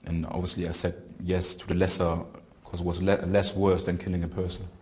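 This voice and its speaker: Man, interviewee; deep and monotone, halting delivery, often searches for words. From Germany. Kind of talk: recounting experiences in an interview. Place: prison.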